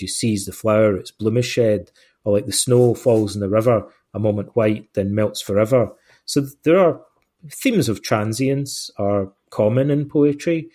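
A man's voice: lowish voice, darkish tone, breathy edge. A middle-aged Scottish man, an author answering interview questions in a rambling, self-deprecating way with many fillers and restarts. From Scotland. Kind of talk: relaxed conversational answers.

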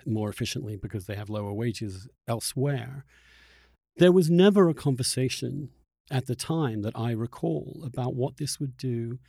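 Male podcast host from Europe, mellow dark tone, reflective, conversational, dry recording. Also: mid-range voice, dry recording. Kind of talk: conversational discussion.

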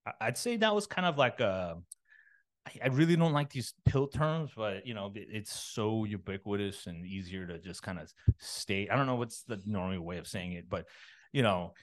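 A man from a Los Angeles suburb, likely in his thirties, talking as a podcast guest in a halting, rambling way full of fillers and restarts. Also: lowish voice, lively intonation. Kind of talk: casual interview talk.

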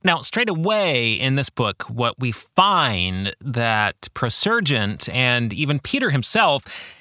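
A middle-aged man hosting a reflective book podcast, speaking deliberately with thoughtful pauses and putting questions to listeners. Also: mid-range voice, big pitch swings, pushed and bright, dry recording, clean studio sound. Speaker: podcast host and author discussing his own novel.

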